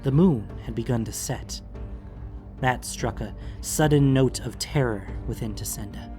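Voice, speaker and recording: A man's voice: mid-range voice, a little slow, dark tone. Male fantasy audiobook narrator over music. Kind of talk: scripted dramatic fantasy narration. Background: background music.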